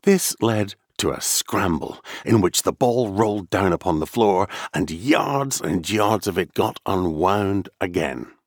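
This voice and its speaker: A man's voice: low-pitched, expressive, measured pace, hardly any pauses, no echo, studio quality. A man reading a children's story aloud as a scripted narration. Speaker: audiobook reader.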